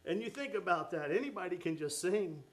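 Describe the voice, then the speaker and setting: Fervent preacher man, raised pitch. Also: expressive, brisk. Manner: fervent, exclaims "oh my" and "amen". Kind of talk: sermon.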